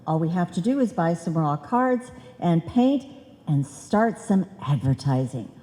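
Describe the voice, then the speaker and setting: In a reverberant hall, an actress plays a dizzy, bossy wife from old-time radio comedy. Her voice is soft-edged and takes its time. She delivers muddled logic and wrong words with total confidence. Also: lowish voice, expressive, measured pace. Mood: breezy, scheming. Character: scatterbrained, bossy married woman hatching a money-making scheme. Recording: live hall recording, long reverb.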